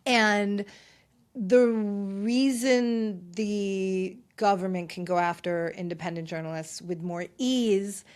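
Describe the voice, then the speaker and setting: A woman's voice: medium pitch, steady tone, slow, smooth. A woman hosting a solo podcast with a slight lisp, talking in a chatty, sarcastic way with rambling asides. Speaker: podcast host. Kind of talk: casual solo podcast chat.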